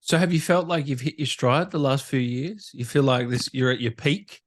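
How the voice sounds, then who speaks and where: A man's voice: mid-range voice, moderate pace. A man hosting a casual interview, agreeable and encouraging as he puts questions and interjects. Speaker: podcast interviewer.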